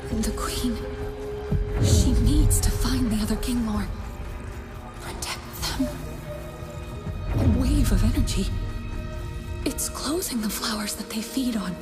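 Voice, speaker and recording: A solemn woman seer, speaks slowly in short halting phrases, intent on what she senses. Her higher voice sits under loud music. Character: solemn woman reading a creature queen's visions aloud. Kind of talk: video game character acting.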